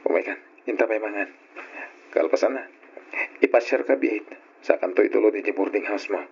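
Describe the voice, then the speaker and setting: A man's voice: fairly low pitch, fairly quick, close to an everyday voice. An actor with an Ilocano accent playing a devoted, polite young working man in love, in an old radio drama. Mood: attentive, tender. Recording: muffled, noisy old radio drama recording.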